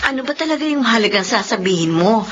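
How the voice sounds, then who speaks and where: An actress playing an excitable, nosy young woman coworker in a radio drama, talking in an animated, exclamatory way. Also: fairly high pitch, fast talker, dull audio, loud music behind the voice, close to an everyday voice. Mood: curious.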